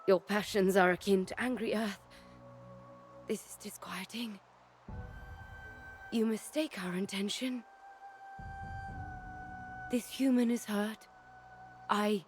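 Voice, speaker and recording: A woman voicing a gentle, ancient tree-spirit healer in a video game, solemn and patient, speaking in halting, deliberate, oddly formal phrases. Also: mid-range voice, natural voice. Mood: grave warning, then pleading. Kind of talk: video game cutscene acting.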